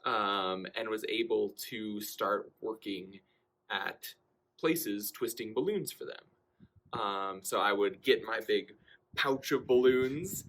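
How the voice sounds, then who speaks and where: A man's voice: lowish voice, slow pace, a little hiss or hum. A young man telling a personal anecdote in a casual, conversational way, acting out bits of dialogue as he goes. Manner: animated delivery, acting out quoted dialogue. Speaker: storyteller.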